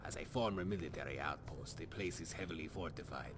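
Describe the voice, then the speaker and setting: A man playing a shrewd, unruffled agent in a fantasy video game, speaking formally and matter-of-factly. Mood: calm, knowing. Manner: formal, matter-of-fact, measured. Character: shrewd, unruffled male agent briefing an infiltration. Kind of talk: scripted video game dialogue. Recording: clear background noise, rumbling ambience.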